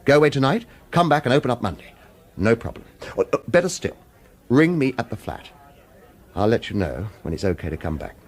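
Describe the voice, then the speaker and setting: A man's voice: mid-range voice, very animated, fairly quick. An actor playing a wary hitman who narrates a radio drama and speaks protectively, with hesitant reassurance. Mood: earnest, cautious. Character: wary male hitman narrator, protective of his lover, evasive. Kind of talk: radio drama acting with first-person noir narration.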